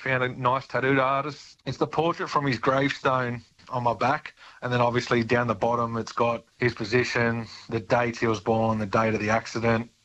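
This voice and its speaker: A man's voice: mid-range voice, little pitch movement, moderate pace, fairly bright tone. A man with an Australian accent talking informally as an interviewee. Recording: dull, muffled sound.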